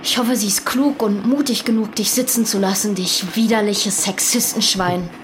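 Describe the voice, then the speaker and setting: A woman's voice: medium pitch, steady tone, normal speed, background noise, natural voice. A woman acting a cold, unforgiving mother in a German radio drama, speaking curtly and accusingly. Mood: accusing, contemptuous. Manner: curt, cutting, accusatory. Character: cold, hostile, unforgiving young mother in a marital feud.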